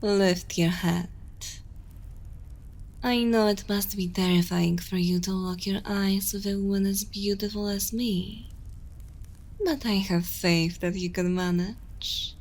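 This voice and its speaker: A woman playing an imperious, seductive evil queen in an ASMR roleplay, speaking deliberately and often slipping toward a whisper, commanding and condescending. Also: mid-range voice, fairly even intonation. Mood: pleased, seductive. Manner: slow, deliberate, hushed delivery slipping into whispers. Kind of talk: ASMR roleplay, intimate dramatic monologue.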